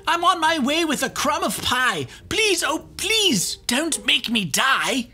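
A man's voice: extremely high pitch, very animated, slow, pushed and bright, slight background noise, voice pushed up, heavily acted. A man voicing a tiny, earnest, plucky ant in a children's storybook read-aloud, beseeching in an exaggerated way. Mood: desperate pleading.

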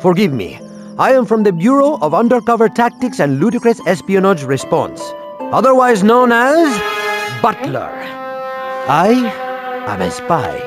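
High-voiced self-important spy. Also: male voice, big pitch swings, measured pace, music in the background. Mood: self-important, explaining. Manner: formal, dramatic. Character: self-important, earnest undercover spy butler man. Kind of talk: video game cartoon acting.

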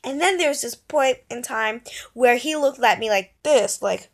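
High-voiced young girl storytelling. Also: normal speed. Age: child or young teen. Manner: animated, dramatic storytelling with mimicked reactions. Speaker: vlogger. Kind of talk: casual storytime vlog chat. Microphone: phone at arm's length.

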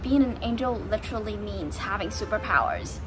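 A teenage girl from Hong Kong, presenting a school project in a chatty, energetic way with lots of filler words. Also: medium pitch, a little fast, music in the background. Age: teens. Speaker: student presenter. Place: indoor room and school corridor. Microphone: phone camera at arm's length.

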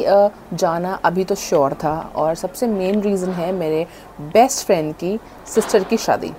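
A woman's voice: lowish voice, mellow, slight background noise. A woman in her thirties chatting casually to camera as a vlogger, mixing Hindi and English, recorded with a lapel mic on a home patio. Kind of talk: casual vlog chat. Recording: background music.

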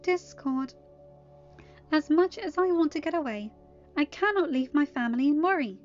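A woman playing a gentle young storybook princess with a high voice. She is earnest, loyal and dutiful, pleading from the heart on a slightly muffled recording. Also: lively intonation, moderate pace. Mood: earnest, firm. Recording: muffled lo-fi audio with music.

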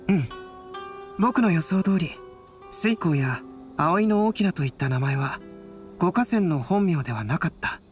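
An actor playing a calm, analytical young male artist and scholar in a Japanese anime game, measured and reflective. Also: high voice, exaggerated voice.

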